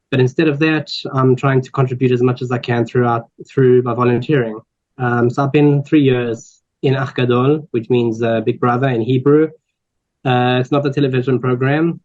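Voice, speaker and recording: A man's voice: mid-range voice, darkish tone. A man in his twenties from South Africa, with a South African accent, introducing himself on a panel, earnest and full of ums and restarts. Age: 20s. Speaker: panel speaker, volunteer. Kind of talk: personal introduction at a panel talk. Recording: slightly dull lo-fi audio.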